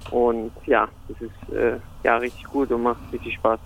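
A young man with a gravelly voice talks in a flat, even line. He answers interview questions about football, hesitating often and filling gaps with fillers. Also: mid-range voice, normal speed, poor audio. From Germany (Hamburg). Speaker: football player.

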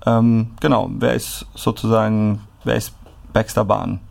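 A man interviewing a new player for the club in a relaxed, loose way. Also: lowish voice, measured pace, gravelly. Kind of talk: casual interview.

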